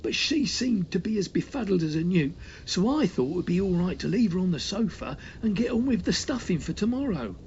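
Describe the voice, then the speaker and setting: A man acting an apologetic storybook manservant, deferential and easily flustered. The voice is pushed up high, on a slightly dull recording. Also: big pitch swings, a little fast, hardly any pauses, darkish tone, slight background noise. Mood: shamefaced.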